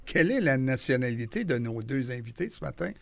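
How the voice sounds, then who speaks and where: Host: quebecois man, mid-pitch, chatty and upbeat, rambles. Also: sing-song, fairly quick, gravelly, fairly bright tone. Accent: Quebec French. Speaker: radio host. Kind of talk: radio interview chat.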